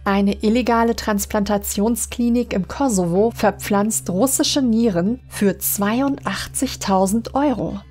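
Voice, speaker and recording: A woman reading scripted horror stories as an audiobook narrator, in a measured, dramatic manner. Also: on the high side, a little mellow. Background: music under the voice.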